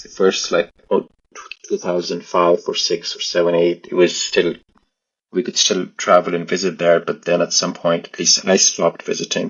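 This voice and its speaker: A man speaks very low and with little melody, his voice full of creak. He is an interview guest who picks his words carefully and hesitantly. The recording is slightly dull. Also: fairly bright tone. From Pakistan. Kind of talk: conversational personal recollection.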